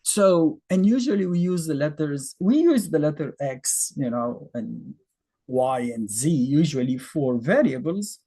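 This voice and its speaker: Egyptian-accented man teaching math, husky and mellow. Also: on the high side, expressive, a little slow. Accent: Egyptian Arabic. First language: Arabic. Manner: conversational, thinks aloud with fillers and rhetorical questions. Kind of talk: casual explanatory lesson.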